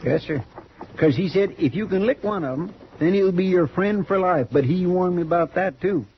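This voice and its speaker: An actor playing an earnest, naive frontier deputy with an American rural drawl, deferential and rambling, in a 1950s radio western. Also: male voice, sits high, moderate pace. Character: folksy, earnest, naive marshal's deputy in Old West. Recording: muffled, noisy old radio recording.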